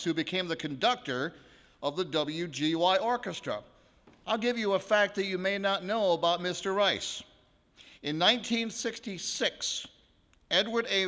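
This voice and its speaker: Bright, high-voiced man in his sixties, echoing hall. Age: 60s. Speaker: radio station presenter introducing musicians. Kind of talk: live concert introduction with personal anecdote. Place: large ballroom, live on stage. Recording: echoey hall with long reverb.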